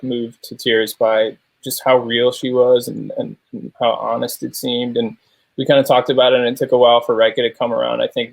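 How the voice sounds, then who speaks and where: A man's voice: mid-range voice, gravelly, husky, no room sound, poor audio. A man speaking as a filmmaker guest in a panel interview, explaining how a film was made.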